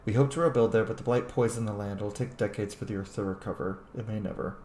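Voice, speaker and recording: A man's voice: lowish voice, steady tone, fast, a little mellow. A man doing casual, sweary let's play commentary and reading in-game letters aloud as he roleplays. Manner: sweary, informal. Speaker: game streamer roleplaying as his character. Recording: echoey room with faint background noise.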